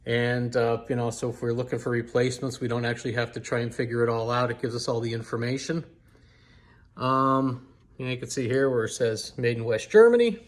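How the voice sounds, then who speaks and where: An American man giving a casual, unscripted product demonstration in a measured, explanatory way. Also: medium pitch. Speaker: vintage radio collector presenting a video review.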